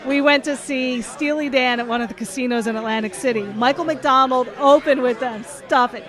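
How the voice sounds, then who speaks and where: A woman's voice: high-pitched, normal speed, a crowd in the background. Chatty nasal woman with a cold. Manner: chatty, joking, rambling with restarts. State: has a cold. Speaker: podcast co-host. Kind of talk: casual chat.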